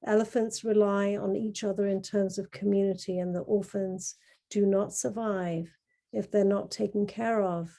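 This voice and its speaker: A middle-aged woman with a soft-edged, mellow voice at an average pitch. She speaks in a measured, earnest way, presenting artwork to an online group over a video call. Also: steady tone, creaky. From grew up in Kenya. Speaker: artist presenting their work.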